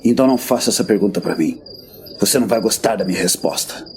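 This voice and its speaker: An actor playing a grim, world-weary veteran warrior master in a fantasy audio drama, speaking bluntly and solemnly. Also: male voice, fairly low pitch, fast, darkish tone, slight background noise, natural voice. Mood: bitter, weary. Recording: background music.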